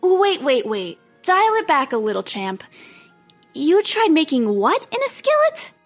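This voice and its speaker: A woman's voice: high-pitched, wildly swooping pitch, measured pace, faint music behind, heavily acted. A woman playing a curious young girl who keeps questioning a friend's odd stories. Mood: incredulous.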